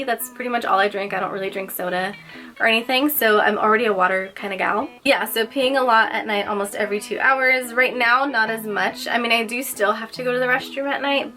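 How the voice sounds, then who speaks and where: A woman's voice: mid-range voice, pushed and bright. A young woman vlogging casually, slightly nasal and congested, chatty with lots of filler words. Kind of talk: casual personal vlog.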